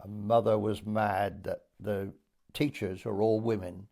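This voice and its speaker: Gravelly elderly English man reminiscing. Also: on the low side, little pitch movement, mellow. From Dorset, England. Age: 80s. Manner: hesitant, pausing storytelling. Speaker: interviewee recalling wartime childhood. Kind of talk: oral history reminiscence.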